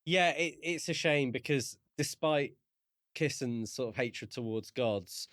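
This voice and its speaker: A man co-hosting a podcast, talking through a book casually in thoughtful, halting phrases. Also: mid-range voice, fairly bright tone, no echo. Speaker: podcast co-host.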